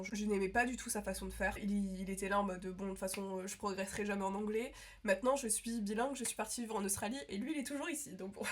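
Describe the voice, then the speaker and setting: Chatty young Frenchwoman, rambling vlog. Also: mid-range voice. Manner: rambling, many fillers and restarts. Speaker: vlogger. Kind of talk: casual chat to camera.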